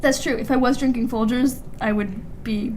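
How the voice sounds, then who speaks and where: Giggly young woman on a podcast, kinda high voice, dry jokes, slight noise behind her. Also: fairly even intonation, moderate pace, a little mellow. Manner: giggly, dryly ironic. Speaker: podcast co-host. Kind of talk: casual joking podcast chat.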